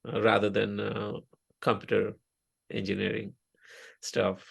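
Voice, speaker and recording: Middle-aged Indian-accented man, Oriya-speaking, gravelly low voice, hesitant interview guest. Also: completely flat delivery. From India (Odisha). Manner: halting, many fillers and restarts. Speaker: interview guest, software engineer. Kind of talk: casual interview talk.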